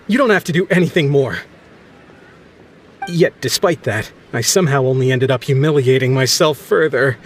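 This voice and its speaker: A man's voice: a little high, a little hiss or hum. An actor playing an embarrassed, flustered male ship captain who stammers in a video game.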